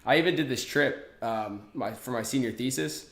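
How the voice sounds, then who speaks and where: A man's voice: mid-range voice, moderate pace, vocal fry, reverberant, slight background noise. A man in his twenties, a podcast guest talking casually, with lots of fillers and restarts. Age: 20s. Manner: relaxed, many fillers and restarts. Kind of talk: casual interview talk.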